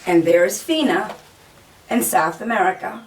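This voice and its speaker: A woman reading a children's story aloud in a deliberate storytelling manner, pausing to point out pictures. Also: medium pitch, animated. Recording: distant mic, faint background noise.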